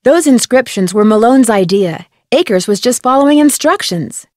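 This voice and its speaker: Give this game's young female sleuth , played by an actress, a slightly high, articulate voice. She is sharp and curious, explaining clues in a matter-of-fact way, recorded very dry. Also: animated, moderate pace, dry recording, close to an everyday voice. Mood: explanatory. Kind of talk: scripted game voice acting.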